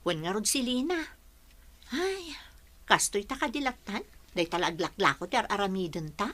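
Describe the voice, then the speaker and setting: Bright, teasing Ilocano woman. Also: mid-range voice, very animated, normal speed. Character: teasing village woman, gossipy friend. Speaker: radio drama actress. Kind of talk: Filipino radio drama acting.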